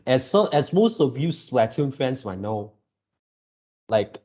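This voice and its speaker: A man in his early twenties talking casually to viewers as a channel host, in a halting way, often repeating and rephrasing himself. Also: medium pitch, very animated, dark tone. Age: early 20s. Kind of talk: casual reaction video talk to viewers.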